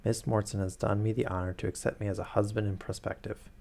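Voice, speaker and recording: A man's voice: on the low side, level tone, quick pace, gravelly, darkish tone. A man reading an earnest doctor companion. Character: earnest male doctor companion, newly engaged. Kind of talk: reading a novel aloud.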